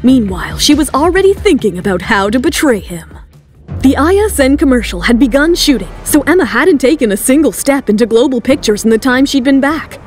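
A woman's voice: high-pitched, expressive, brisk. A woman reading scripted, dramatic third-person narration for an audio drama. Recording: music bed under the voice. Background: background music.

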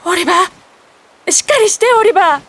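A woman playing a gentle, loving young mother in an anime, with a tender, caring manner. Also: very high voice, moderate pace. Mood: frightened, desperate. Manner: tender, caring, softly reassuring. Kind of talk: anime voice acting. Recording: loud orchestral music behind. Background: music.

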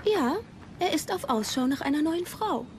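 An actress playing a jealous, venomous royal mistress in a historical docudrama, haughty and scornful. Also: female voice, high voice, expressive, moderate pace, a little hiss or hum. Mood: haughty, biting. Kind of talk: dubbed historical docudrama dialogue.

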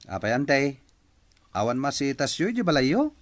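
Male actor as agreeable young nephew, mid-pitched, close dry sound, radio comedy drama. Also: big pitch swings, moderate pace, no room sound, close to an everyday voice. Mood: polite, hesitant.

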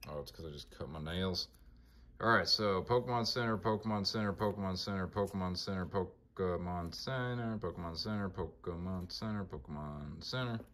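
A man with a monotone voice casually talking through his card collection, mumbling names as he flips through binders. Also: sits low, moderate pace. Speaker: collector vlogger.

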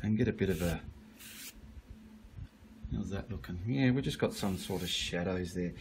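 A man's voice: sits low, normal speed, slight background noise. A man giving a casual, blunt painting tutorial while he works at an easel, with the odd mild swear.

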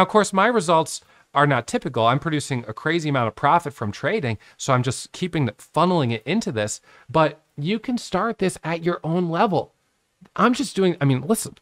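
A man's voice: a little high, no room sound. Thirtysomething guy explaining stuff on a whiteboard, talks with his hands, dry studio mic sound. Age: 30s. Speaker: trading educator. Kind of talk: casual whiteboard lesson. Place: home office with monitors. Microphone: studio microphone on a boom arm.

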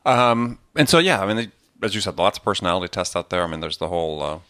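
A man's voice: deep voice, fast talker, a bit bright, no echo. A middle-aged man hosting a podcast in a casual conversational style. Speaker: podcast host.

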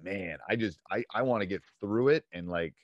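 A man's voice: on the low side, normal speed, no echo, lo-fi sound. A middle-aged American man hosting a casual interview, talking bluntly and conversationally into a desk microphone. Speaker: host and basketball coach. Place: home room with bookshelves. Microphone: desk microphone close up, headset on.

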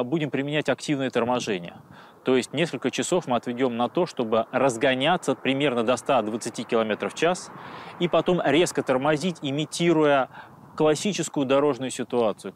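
A man in his forties, a test expert explaining on camera with careful, pausing phrasing, recorded outdoors on a lapel mic. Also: medium pitch, slight background noise. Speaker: car endurance test expert. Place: outdoors on an open tarmac test track.

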